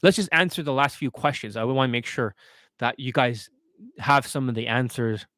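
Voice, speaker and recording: A man's voice: mid-range voice, moderate pace, fairly bright tone, dry recording. Blunt male dating coach, dry close sound. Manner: blunt, didactic, often checking with rhetorical questions. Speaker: dating coach and livestream host. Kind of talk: livestream question-and-answer talk.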